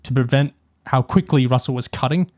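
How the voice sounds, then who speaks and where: A man's voice: medium pitch, a little fast, no echo, studio quality. A man co-hosting a podcast, talking casually and analytically through a review, hesitantly thinking aloud as he goes. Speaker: podcast co-host.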